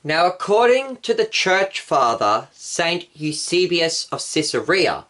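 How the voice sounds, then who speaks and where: A man's voice: a little high, big pitch swings, slow, pushed and bright. A male pastor telling church history as a patient story for children. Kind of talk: children's Bible story lesson.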